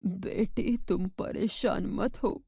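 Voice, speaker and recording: Slow, mellow forest holy man played by a woman. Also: mid-range voice, creaky, breathy edge, no echo, clean studio sound, heavily acted. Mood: calm, reassuring. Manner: measured, reassuring.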